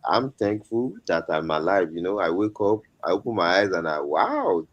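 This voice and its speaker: A man's voice: sits low, sing-song, moderate pace, muffled recording. A man from Nigeria with a Nigerian accent, chatting casually as a comedian guest on a talk show.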